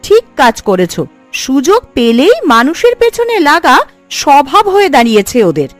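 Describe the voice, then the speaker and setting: Woman acting a high-voiced, sharp-tongued village wife. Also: huge pitch swings, moderate pace, dry recording, soft background music. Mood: indignant. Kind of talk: Bengali cartoon story dubbing.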